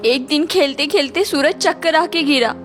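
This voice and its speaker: A woman's voice: high voice, normal speed, fairly bright tone. A woman voicing a distraught housemaid and desperate mother in a children's cartoon story, tearful and pleading. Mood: tearful despair. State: tearful, sobbing.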